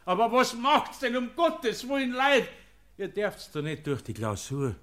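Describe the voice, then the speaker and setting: A man's voice: very high-pitched, huge pitch swings, unhurried, a bit bright, heavily acted. A man playing a mild, patient monk with a Bavarian accent in a historical radio play. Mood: alarmed. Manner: mild, pleading. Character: gentle, patient Bavarian monk keeping the monastery gate.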